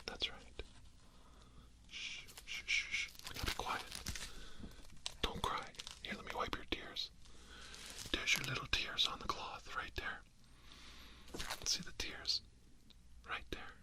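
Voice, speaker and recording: An ASMR performer whispering softly as a gentle caretaker, coaxing and soothing. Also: slow, pauses often. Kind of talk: ASMR roleplay.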